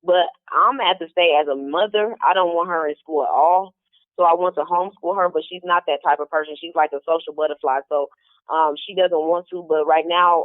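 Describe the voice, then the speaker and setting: A woman's voice: low-pitched, no room sound. A woman speaking as a call-in guest in a casual interview, hesitant and thinking aloud, over a telephone. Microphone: phone call. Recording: muffled, dry telephone line.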